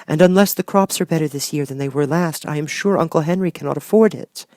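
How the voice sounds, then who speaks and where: Man voicing high, earnest little girl Dorothy. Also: fast talker, no echo, clean studio sound, close to an everyday voice. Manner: bright, polite, earnest.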